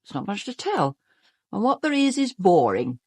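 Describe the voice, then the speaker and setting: An actress playing a vain, self-absorbed stage star with a British accent, haughty and melodramatic in a radio drama. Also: female voice, mid-range voice, sing-song, normal speed, breathy edge, dry recording, studio quality. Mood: disdainful.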